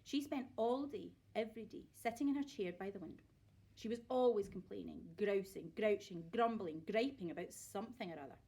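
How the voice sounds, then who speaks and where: A woman's voice: medium pitch, fairly quick, dark tone, husky. A woman narrating a children's story aloud expressively, like a teacher reading to pupils.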